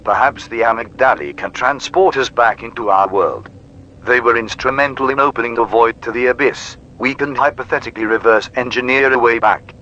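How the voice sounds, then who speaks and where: Courteous male machine, done by a man, erudite, formal phrasing, bright forceful tone, muffled radio filter, horror audio drama. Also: medium pitch, brisk, slight background noise. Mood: helpful, measured. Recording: dull, radio-like band-limited sound.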